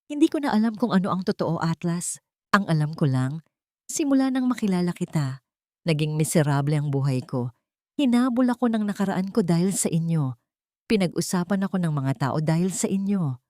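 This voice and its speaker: A woman's voice: lowish voice, lively intonation, moderate pace, dark tone, dry recording, clean studio sound, natural voice. A woman playing a bitter, resolute young mother-to-be in an emotional audio drama. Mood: anguished.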